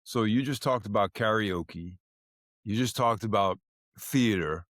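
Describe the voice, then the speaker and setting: A man's voice: fairly low pitch, a little slow, fairly bright tone, dry recording, studio quality. A man hosting a podcast interview, talking casually and chattily, often repeating and restarting words. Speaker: podcast host.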